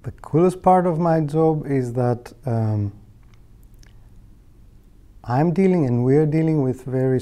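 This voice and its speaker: A man in his thirties with a Greek accent, a native Greek speaker, explaining his job in a hesitant, measured interview style. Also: mid-range voice, mellow. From Greece. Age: 30s. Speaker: technical head of a materials laboratory. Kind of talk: informal career interview monologue. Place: indoor meeting room. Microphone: lapel.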